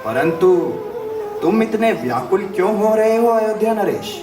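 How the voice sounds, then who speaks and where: A man's voice: sits high, animated, normal speed. An actor playing a stern, reproachful great sage in a mythological drama, commanding and pointed in his oratory. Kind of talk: theatrical Hindi mythological audio drama. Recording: roomy distant playback with music behind.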